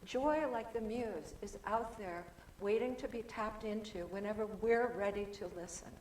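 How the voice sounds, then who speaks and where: A soft-spoken woman giving a chatty, informal talk as a guest speaker at a church service, with plenty of restarts. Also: medium pitch, moderate pace. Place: church sanctuary. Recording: echoey hall with faint room noise.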